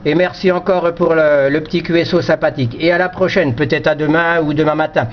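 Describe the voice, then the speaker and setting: A man's voice: on the high side, steady tone, fairly quick. A man chatting casually over amateur radio, hesitant and often repeating his words. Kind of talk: casual two-way radio chat. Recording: dull lo-fi audio with slight noise.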